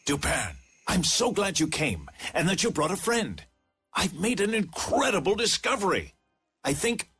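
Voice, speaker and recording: A feverishly eager male treasure hunter in an adventure game. His high-pitched voice is very raspy and swings wildly with intense urgency, with music underneath. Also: bright, forceful tone, heavily acted. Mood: thrilled. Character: William Legrand, excitable obsessed treasure seeker, feverishly eager. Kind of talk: scripted adventure game dialogue.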